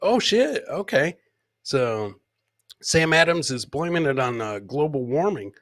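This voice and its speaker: A man's voice: fairly high pitch, very animated, measured pace, fairly bright tone, no room sound. Casual comedy podcast host, man, close dry sound. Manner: informal, profane banter with viewers. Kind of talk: casual comedic livestream chat.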